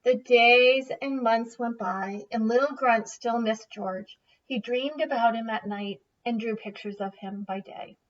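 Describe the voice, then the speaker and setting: A woman's voice: fairly high pitch, measured pace, few pauses. A woman teacher reading a children's picture book aloud expressively, in a distant, slightly muffled recording.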